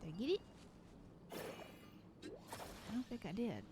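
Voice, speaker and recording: A woman's voice: a little high, big pitch swings, measured pace, noisy background. A woman streamer giving casual commentary as she plays, chatty and muttering to herself while she works through puzzles. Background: game music.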